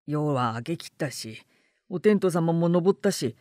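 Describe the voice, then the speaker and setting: A woman voicing a crafty, gruff male rogue in a storybook reading, blunt and speaking rough rural dialect. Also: low voice, lively intonation, unhurried, warm tone, dry recording, clean studio sound. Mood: puzzled.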